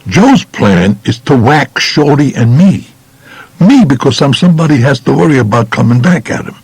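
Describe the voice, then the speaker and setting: A man's voice: medium pitch, very animated, normal speed, a little mellow, husky, dry recording. A man playing a tough, streetwise New York mobster recounting his crimes bluntly in colloquial first-person audiobook narration. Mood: blunt, matter-of-fact. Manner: colloquial, blunt, matter-of-fact.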